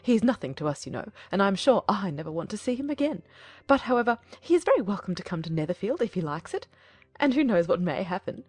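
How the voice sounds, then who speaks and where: A woman voicing a fretful, excitable middle-aged mother in a dramatized audiobook, gushing and plaintive. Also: medium pitch, quick pace, breathy edge, no echo. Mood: flustered, excited. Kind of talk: dramatized audiobook character acting.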